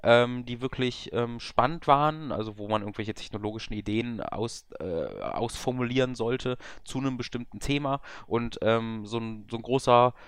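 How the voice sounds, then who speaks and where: Young male podcast co-host with a cold, rambling, joins remotely; smooth, dry sound. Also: medium pitch, animated, moderate pace, hardly any pauses, no echo. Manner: rambling, self-deprecating, many filler sounds. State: has a cold. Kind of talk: casual podcast chat. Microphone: remote over voice chat.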